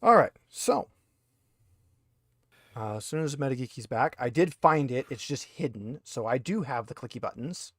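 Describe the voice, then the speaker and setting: A man's voice: mid-range voice, animated, normal speed, fairly bright tone, no room sound. Informal man running a game, close dry sound. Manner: informal, explanatory. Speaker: game master. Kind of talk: running an online tabletop roleplaying game.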